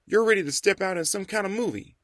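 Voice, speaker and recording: A man's voice: high voice, fast talker, fairly bright tone, dry recording, studio quality, pitched above the performer's own voice. A man voicing a young, easygoing man who teases and jokes about money in a playful way. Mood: joking. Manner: playful, teasing. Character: young man, joking, easygoing, newly thrifty.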